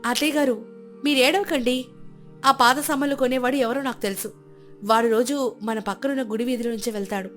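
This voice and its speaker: A woman voicing a clever, calm young daughter-in-law in a storybook tale, speaking politely and reassuringly. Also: on the high side, a little fast, soft background music, close to an everyday voice.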